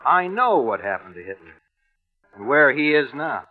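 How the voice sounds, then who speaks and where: Slangy American sailor, old radio. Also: male voice, medium pitch, sing-song. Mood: confiding. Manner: colloquial, halting. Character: slangy American ex-Navy radio operator spinning a yarn. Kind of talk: old-time radio drama acting. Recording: muffled vintage radio broadcast, music behind.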